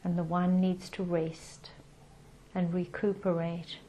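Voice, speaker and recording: Meditation guide, female: lowish, very slow, soothing delivery, faint noise behind the voice. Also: rough recording. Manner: calm, soothing, with gentle pauses.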